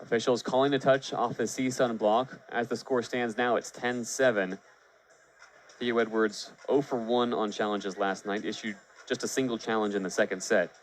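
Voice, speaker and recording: A man's voice: mid-range voice, steady tone, normal speed, rough recording. Male radio play-by-play announcer over venue noise. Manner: descriptive, fluent, lifts voice on big plays. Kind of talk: live sports commentary for baseball and volleyball. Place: live at a sports venue.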